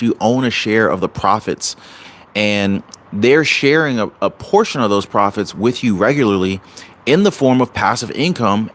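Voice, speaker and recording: A man with a lowish voice talks live to his viewers over a home computer mic. He sounds upbeat and motivational, stumbling over fillers and restarting his sentences as he goes. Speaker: channel host. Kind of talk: casual livestream talk. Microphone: home computer mic on a livestream.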